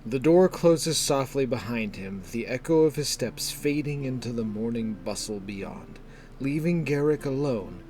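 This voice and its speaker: Slow, expressive male fantasy narrator. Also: medium pitch, faint music behind. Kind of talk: dramatic scripted fantasy narration.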